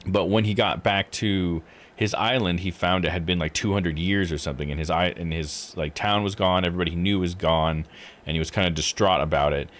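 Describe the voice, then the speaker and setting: Male game streamer, deep voice, casual and rambling, thinking out loud over background music. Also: fairly even intonation, brisk.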